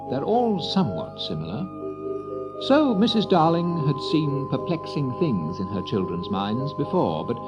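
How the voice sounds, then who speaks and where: A man narrating a children's story in a theatrical, deliberate and expressive way. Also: medium pitch, dull audio.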